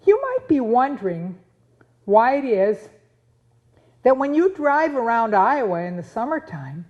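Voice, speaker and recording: Slow, higher-voiced woman storyteller. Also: big pitch swings, a little mellow. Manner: dramatic, deliberate pauses. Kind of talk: scripted puppet show narration. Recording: muffled sound, guitar music behind.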